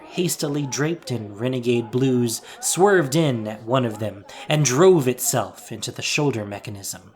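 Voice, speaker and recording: A man giving a dramatic, scripted narration of an adventure story as the narrator of an audio drama. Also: medium pitch.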